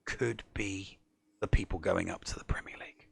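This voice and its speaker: A man's voice: low voice, fairly even intonation, normal speed, vocal fry, fairly bright tone, slightly rough, dry recording, in a whisper. A man co-hosting a gaming stats show, recapping match results like a sportscaster. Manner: sportscaster-style recap with dramatic lines. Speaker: co-host. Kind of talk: casual gaming show commentary.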